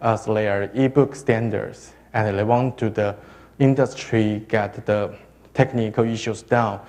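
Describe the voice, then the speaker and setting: A man giving a technical conference presentation on web standards. He speaks in a hesitant, halting way, restarts often and uses non-native phrasing. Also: on the low side, steady tone, a little mellow.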